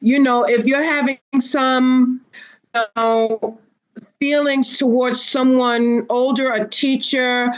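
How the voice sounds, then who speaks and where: A woman's voice: sits high, fairly even intonation, slow pace, clear, resonant tone, lo-fi sound. A woman speaking casually as a panelist in a podcast discussion.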